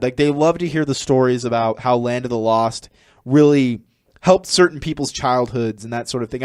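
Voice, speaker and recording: A man with an American accent co-hosting a podcast in a casual, rambling, halting way. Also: mid-range voice, dry recording, clean studio sound. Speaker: podcast co-host. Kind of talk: casual chat.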